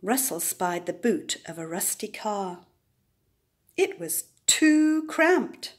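Older woman reading slowly and very expressively. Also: medium pitch. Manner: deliberate, expressive storytelling with dramatic emphasis. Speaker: children's story reader. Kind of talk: storybook read-aloud for young children.

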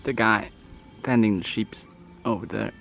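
A man's voice: fairly low pitch, a little slow, creaky, a bit bright, faint music behind. A young man with a Polish accent narrating a casual vlog from behind a handheld camera, with dry, playful remarks. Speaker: vlogger filming a hiking trip. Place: outdoors on trails and inside wooden huts. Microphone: handheld camera, speaker behind it. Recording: noisy handheld camera with ambient sound.

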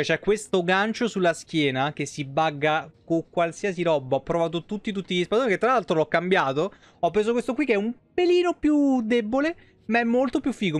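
Higher-pitched male game streamer, dry sound. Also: dry recording. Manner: informal, crude slang. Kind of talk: casual live commentary.

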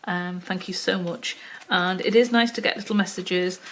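A woman's voice: on the low side, steady tone, normal speed, fairly bright tone, slight background noise, low-quality recording. An older Welsh-born woman with a Wirral accent, mixing Welsh and Liverpool sounds, chatting through a craft tutorial at her table, full of ums and asides to herself. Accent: Wirral, mix of Welsh and Liverpool. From Wales. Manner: chatty, many ums, talks to herself while working. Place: home craft table, indoors. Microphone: overhead camera, hands only.

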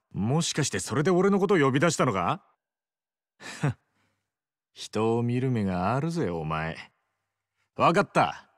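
A young yakuza for a Japanese video game, cocky and loyal to his sworn brother. His voice sits fairly low and swings widely in pitch, recorded dry and close. He talks in rough, teasing slang. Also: dry recording. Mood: teasing, incredulous. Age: young adult.

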